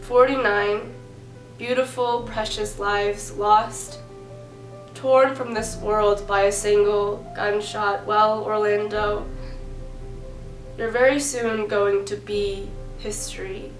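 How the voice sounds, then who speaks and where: A young American woman performing a slam poem, speaking earnestly with dramatic phrasing, deliberate pauses and repetition. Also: medium pitch, flat delivery, echoey room, soft background music, poor audio. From San Bernardino area, California, United States. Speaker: amateur spoken-word poet. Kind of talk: slam poetry recitation.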